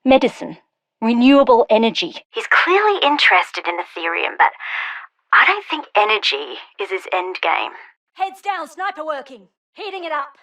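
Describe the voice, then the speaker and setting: Brainy dry-witted lady scientist for a shooter game, guilt-ridden but determined, highish voice. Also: very animated, moderate pace, fairly bright tone, no echo. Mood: combat shouting. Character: brilliant wry female scientist, guilt-ridden, urgent and determined. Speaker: scientist character. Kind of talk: scripted game voice lines and audio journals.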